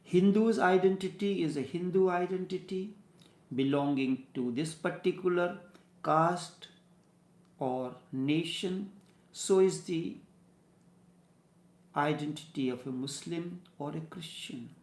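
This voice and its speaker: A man from India with an Indian accent and Hindi as his first language, giving a slow, halting spiritual discourse as a teacher. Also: fairly high pitch, darkish tone, echoey room.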